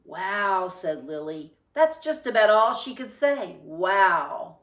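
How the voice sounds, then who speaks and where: A woman reading a children's story aloud with expressive, deliberate and emphatic phrasing. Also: medium pitch, far from the mic. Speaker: storybook reader. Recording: roomy, echoing sound.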